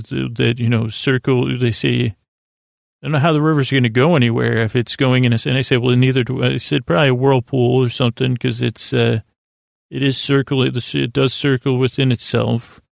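An American man with a creaky voice hosting a sleep podcast, rambling in a halting, reassuring way as he speaks straight to the listener. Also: mid-range voice, level tone, no room sound, clean studio sound. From Syracuse, New York, United States. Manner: meandering, halting, stumbling over words, reassuring. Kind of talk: rambling bedtime monologue, addressing the listener.

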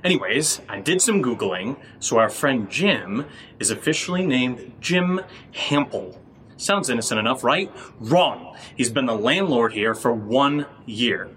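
An actor playing an excitable, paranoid, joking young amateur sleuth in a naturalistic audio drama. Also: male voice, mid-range voice, unhurried. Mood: excited, insistent.

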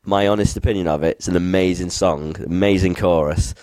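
A man co-hosting a casual chat, his voice croaky from being unwell, talking enthusiastically with many restarts. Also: deep voice, steady tone, a little fast, a little mellow, dry recording. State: croaky from illness. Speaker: podcast co-host.

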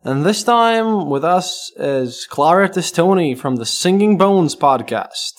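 Male podcast host, higher voice, animated, chatty and restart-prone. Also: takes it slowly. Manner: animated, full of restarts and fillers. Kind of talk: casual podcast interview.

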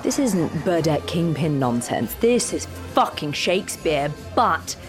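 An actress playing an idealistic, excitable screenwriter in an audio drama, earnest and wordy, a little anxious. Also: female voice, deep voice, unhurried, over music. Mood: earnest pitching. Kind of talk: audio fiction acting.

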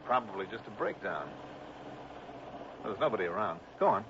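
An American man acting a curious, brisk private investigator in a vintage radio drama, with punchy narration and wry replies. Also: fairly low pitch, sing-song, background noise. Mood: interested, wry. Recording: muffled vintage radio broadcast recording.

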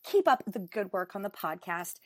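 A woman hosting a podcast, reading a listener's email aloud with deliberate emphasis. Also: medium pitch, big pitch swings, dry recording, studio quality. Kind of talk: dramatic reading of a fan email.

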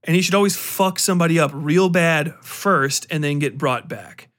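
Male podcast host, slightly high voice, loose and rambling delivery, animated, swears freely, slangy everyday talk. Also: moderate pace. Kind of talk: casual rambling film commentary.

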